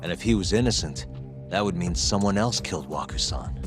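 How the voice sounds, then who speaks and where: An actor playing a steely investigator in a video game, speaking in a measured, accusing way over music. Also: male voice, low voice, lively intonation. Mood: cold accusation. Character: steely adult male investigator confronting a suspect. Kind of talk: English video game dub acting.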